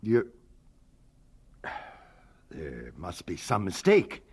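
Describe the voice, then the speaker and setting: An actor playing a stern, dismissive university official in an audio drama, formal, halting and condescending. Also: male voice, sits low, huge pitch swings, husky, heavily acted. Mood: brisk welcome.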